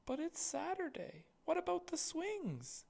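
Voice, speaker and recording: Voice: very high voice, wildly swooping pitch, unhurried, creaky, darkish tone, pitched above the performer's own voice, heavily acted. An adult reader voicing a pleading little brother, a young boy in a storybook, asking plaintively.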